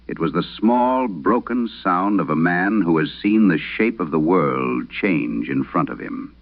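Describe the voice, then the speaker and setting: A man performing as a late-night radio host, solemnly reading an eerie letter in measured, deliberate phrases through an old radio filter, in a vintage horror drama style. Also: deep voice, creaky, heavily acted. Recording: tinny band-limited old radio sound.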